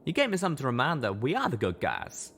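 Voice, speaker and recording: A man's voice: medium pitch, wildly swooping pitch, quick pace, gravelly, a bit bright, a little hiss or hum, pitched above the performer's own voice, heavily acted. A plain-spoken cowboy pegasus played by a man with a drawl. He is folksy, blunt and loyal, and his lines swing animatedly in a storybook drama. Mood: heartfelt.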